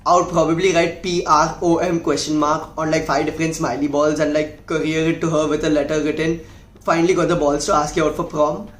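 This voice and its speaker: A man's voice: a little high, flat delivery, brisk, reverberant. A young man, joking cockily to the camera. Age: young adult. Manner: joking, cocky. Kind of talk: casual to-camera chat.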